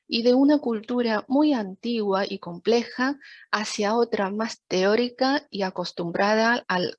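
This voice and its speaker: Woman, native Chinese speaker, mid-pitched voice, scripted lecture delivery, formal and measured, close dry sound. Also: no echo. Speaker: interpreter giving a talk. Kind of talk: prepared lecture, read from a script.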